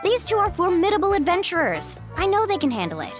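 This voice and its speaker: A woman's voice: very high-pitched, huge pitch swings, fast talker, heavily acted. A woman playing a cheerful, breezy young local guide in a video game, with a bubbly, casual manner. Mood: reassuring, pleading. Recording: background music under the voice.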